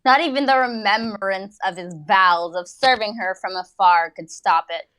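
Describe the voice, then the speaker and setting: A woman narrating fan fiction in a mock-dramatic style, with theatrical, drawn-out phrasing and dry asides. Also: mid-range voice, a bit bright, poor audio. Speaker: narrator of a comic dramatic reading.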